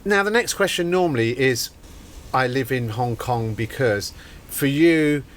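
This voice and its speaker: Very deep-voiced woman host. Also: lively intonation. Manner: constant short affirmations, brisk questions. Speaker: podcast host. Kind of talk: casual interview. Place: book and music shop, live event.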